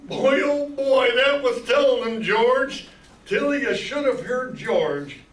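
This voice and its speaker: An excitable small-town uncle in his fifties, acted by an older man in a radio play. His voice is high for a man, flustered and exclamatory. It sounds echoey and far from the mic. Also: takes it slowly, full character voice. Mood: resigned bravado. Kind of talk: amateur radio play acting. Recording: echoey room, distant mic, audience sounds.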